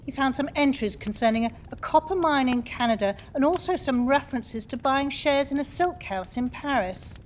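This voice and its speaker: A woman's voice: high-pitched, lively intonation, normal speed, few pauses. A woman acting a touchy, outspoken Victorian lady in an audio play, emphatic and forthright. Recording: faint background noise.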